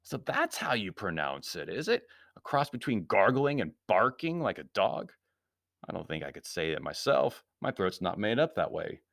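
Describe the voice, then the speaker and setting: A man voicing a flippant, unflappable gentleman adventurer in a storybook, speaking with breezy, mock-polite sarcasm. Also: fairly low pitch, sing-song, moderate pace, vocal fry, no echo, studio quality, exaggerated voice. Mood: mocking, amused.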